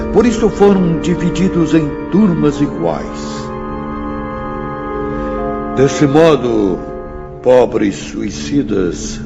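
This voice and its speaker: A grave spirit professor delivering grand radio-drama oratory. His voice is gravelly and swings widely in pitch as he exhorts with slow authority. A long hall reverb surrounds him, with music behind. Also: highs cut off. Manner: declamatory, emphatic, drawn-out phrasing.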